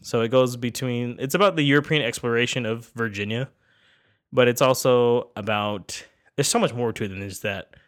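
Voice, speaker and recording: A man's voice: mid-range voice, normal speed. A young man co-hosting a podcast, a bit stuffy and nasal from a cold, talking casually and enthusiastically with lots of restarts. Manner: rambling, enthusiastic, full of restarts. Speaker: podcast co-host. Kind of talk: casual chat.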